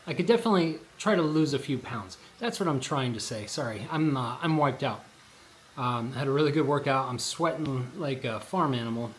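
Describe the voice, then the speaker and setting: A hoarse man vlogging in a casual, rambling way, full of fillers and restarts. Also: medium pitch, lively intonation, brisk. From Colorado. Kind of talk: casual vlog chat. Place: outdoors at a shopping district in light rain. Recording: noisy outdoor recording.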